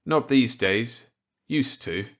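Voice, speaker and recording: A man's voice: mid-range voice, lively intonation, slow, voice pushed up. A man voicing an earnest, resentful government scientist in a storybook reading, stiff and incredulous in delivery. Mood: stiff, guarded.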